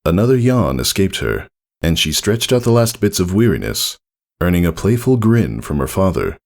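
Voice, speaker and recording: A man reading a scripted story aloud as narrator, in a measured, deliberate and expressive way. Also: deep voice. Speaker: narrator reading fan fiction.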